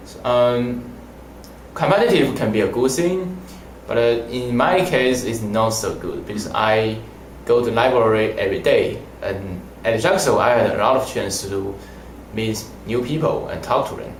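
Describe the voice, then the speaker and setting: A young man whose first language is Mandarin, answering interview questions in halting, simple phrasing. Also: mid-range voice, slight background noise. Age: young adult. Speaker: language student. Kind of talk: casual interview. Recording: roomy echo.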